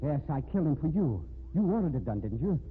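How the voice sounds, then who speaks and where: An actor playing an obsessed, bitter American murderer in an intense, menacing 1950s radio drama style. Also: male voice, fairly high pitch, very animated, quick pace. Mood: desperate, accusing. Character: obsessed, bitter murderer threatening the woman he wants. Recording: noisy, tinny 1950s radio recording.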